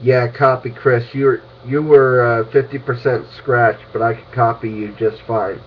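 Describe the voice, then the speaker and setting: A man's voice: medium pitch, steady tone, moderate pace. A young man in casual, agreeable chat over a two-way ham radio. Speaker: amateur radio operator. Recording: muffled radio audio, faint noise.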